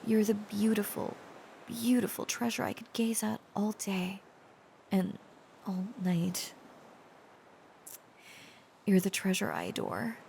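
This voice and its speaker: Slow, hesitant, tender dragon girl voiced by a woman. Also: mid-range voice, expressive, a little mellow, slight background noise. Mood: adoring, tender. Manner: hesitant, halting, earnest, often dropping to breathy whispers. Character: tender, shy, protective dragon-descended woman confessing her love. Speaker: voice actor. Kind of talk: intimate romantic audio roleplay.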